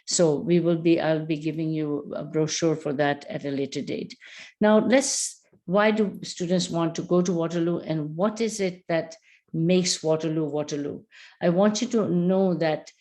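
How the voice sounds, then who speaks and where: A middle-aged woman presenting a training webinar for university recruitment agents, speaking deliberately with frequent fillers. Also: sits low, a little mellow.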